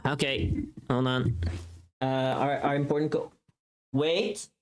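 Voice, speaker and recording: A woman's voice: rumbling low, level tone, slow, a little mellow. A woman livestream host chatting casually, rambling playfully and muttering to herself as she goes.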